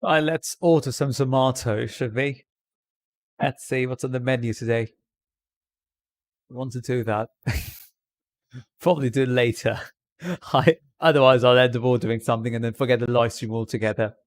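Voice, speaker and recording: A man's voice: mid-range voice, fairly even intonation, moderate pace, long pauses, dry recording. Man streaming in a put-on RP British accent. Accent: put-on British Received Pronunciation. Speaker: live streamer. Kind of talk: casual livestream chat to viewers.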